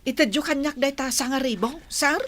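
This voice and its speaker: High-voiced scheming woman. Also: unhurried, a bit bright, slight background noise. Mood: sly, amused. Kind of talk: Ilocano radio drama acting.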